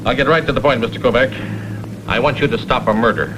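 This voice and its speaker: A man's voice: medium pitch, steady tone, quick pace, natural voice. An actor playing a blunt, boastful middle-aged millionaire businessman and protective father in a vintage TV crime drama. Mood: grave, blunt. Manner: blunt, forceful, boastful, clipped sentences. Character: gruff, blustering self-made millionaire businessman, protective father. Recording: noisy vintage TV soundtrack.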